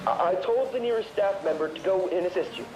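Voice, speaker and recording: A woman playing a calm, formal helpline operator on a call in a horror web series, measured and procedural. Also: on the low side, background noise, close to an everyday voice. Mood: calm, concerned.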